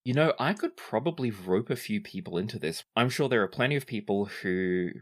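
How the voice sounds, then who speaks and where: A man's voice: fairly low pitch, animated, a little fast. A man voicing a helpful, earnest male organizer in a casual, slightly tentative way.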